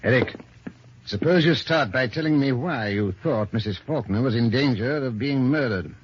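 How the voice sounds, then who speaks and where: A man's voice: mid-range voice, normal speed, background noise, natural voice. A man playing a formal, probing, authoritative police inspector in an old-time radio detective drama. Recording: dull, muffled old radio recording.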